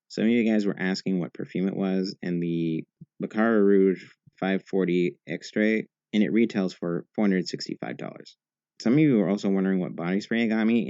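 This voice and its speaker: A man with a deep, low voice reads first-person posts aloud in an even, steady way. The sound is dry and close, with a slightly dull, muffled tone. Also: no room sound. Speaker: narrator reading posts. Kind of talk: scripted narration.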